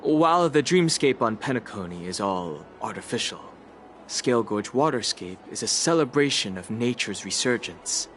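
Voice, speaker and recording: A man voicing a composed, thoughtful traveler in a fantasy game, speaking in a measured, articulate way. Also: on the low side, expressive, fairly bright tone, a little hiss or hum. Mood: calm, reflective. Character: composed, thoughtful adult male traveler companion. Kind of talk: voice acting in a fantasy game cutscene.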